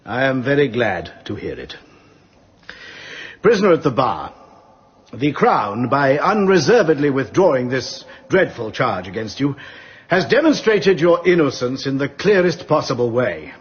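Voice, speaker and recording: An actor playing a formal, solemn English judge in a radio drama, speaking in a measured, ceremonious way. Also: male voice, medium pitch, very animated, husky, highs cut off, full character voice. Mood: solemn, gracious. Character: solemn, formal male judge addressing court.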